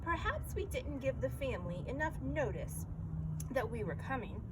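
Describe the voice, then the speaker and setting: A woman reader acts out a male real estate agent from a children's storybook. He is eager, polite and salesy, in a high, animated voice. The recording has clear noise. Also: normal speed. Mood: apologetic. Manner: salesy, courteous. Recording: clearly noisy background.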